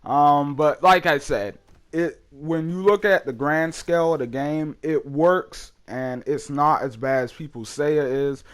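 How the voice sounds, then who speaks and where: A young man hosting his gaming channel, reviewing a game in casual, rambling talk full of fillers, his voice a bit hoarse from a cold. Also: a little high, a little slow. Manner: rambling, many fillers and restarts.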